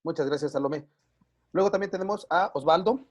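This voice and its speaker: A man's voice: a little high, brisk. A man hosting an online group session as life coach, chatting casually with frequent fillers over a video call.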